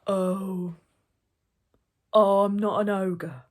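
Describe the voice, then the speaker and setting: A woman voicing a huge storybook ogre who talks in a halting, drawn-out way, slyly pleading innocence. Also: mid-range voice, fairly even intonation, darkish tone. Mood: pleading, feigned innocence.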